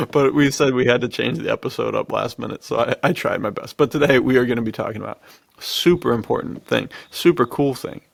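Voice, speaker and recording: A man's voice: mid-range voice, gravelly, husky, dry recording, clean studio sound. A man co-hosting a podcast in casual chat, with a jokey manner. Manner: jokey, laughs through his talk. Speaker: podcast co-host.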